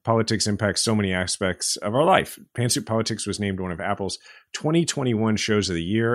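A man's voice: on the low side, no echo, clean studio sound. A man co-hosting a political podcast, speaking casually and emphatically. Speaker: podcast co-host.

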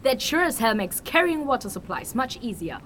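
A woman playing a lazy, complaining but playful young traveler in a fantasy audio drama, with a whiny, informal, expressive delivery. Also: fairly high pitch, normal speed, a little hiss or hum. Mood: curious, playful.

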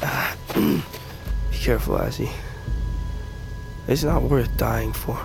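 An actor playing a gravely wounded, fatalistic blind tribesman in an audio drama, speaking weakly and haltingly as he reflects. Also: medium pitch, steady tone, rough recording. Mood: weary, resigned. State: wounded, weak, halting breath. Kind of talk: audio fiction drama acting. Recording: music bed under the dialogue.

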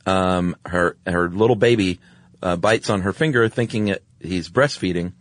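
A man's voice: sits low, moderate pace, no echo, studio quality. A man co-hosting a podcast, retelling stories in casual chat with a droll, offhand manner. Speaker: podcast co-host.

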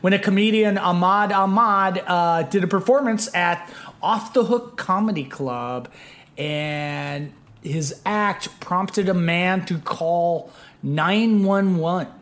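A man's voice: high-pitched, takes it slowly, fairly bright tone. A man hosting a casual podcast chat, meandering with many fillers. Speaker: podcast host.

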